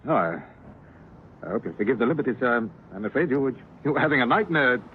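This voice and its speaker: Casting: man as polite, anxious young British student for old radio drama, hesitant, lively pitch, clearly noisy recording. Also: fast, phone-quality sound, natural voice. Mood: nervous, apologetic. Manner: stammering, deferential. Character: polite, nervous young male medical student.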